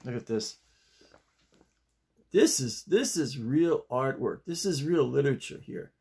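An American man in his sixties chatting casually to camera in a halting, digressive way, often repeating his phrases. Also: mid-range voice, animated, creaky, mellow, slightly rough. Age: 60s. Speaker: vlogger. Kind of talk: rambling casual chat to camera. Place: small home office, indoors.

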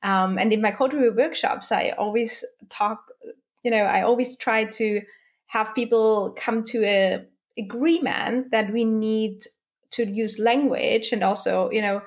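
A woman in her thirties whose first language is German, hosting an interview over a video call from a home office, friendly and quick to laugh, with many "you know"s. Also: a little high, steady tone. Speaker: podcast host. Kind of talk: casual interview conversation. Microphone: video call with wired earphones.

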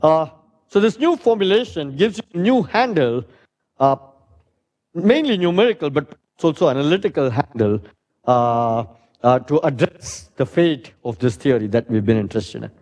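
A middle-aged man with a loud, fairly high voice lectures in a hall. He thinks aloud, dotting his talk with "uh" and "right?", and paces at the front. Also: expressive, moderate pace. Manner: thinking aloud with many "uh"s and tag questions. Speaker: physics lecturer. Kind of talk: academic lecture.

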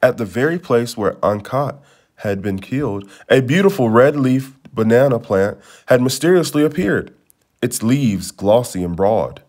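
Expressive man reading a storybook aloud. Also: medium pitch, unhurried. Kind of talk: scripted storybook narration.

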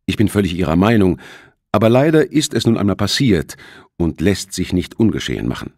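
A man's voice: sits low, very animated, brisk, darkish tone, no room sound, studio quality, pitched above the performer's own voice, full character voice. A man voicing a polite, apologetic cruise ship captain speaking formally in an audiobook thriller.

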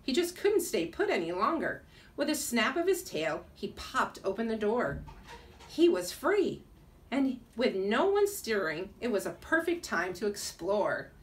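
A middle-aged woman teacher reading a children's storybook aloud in an expressive way. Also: mid-range voice, a little hiss or hum. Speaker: second grade teacher reading a bedtime story. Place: small school room, indoors. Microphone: camera a few feet away. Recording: distant mic in a room.

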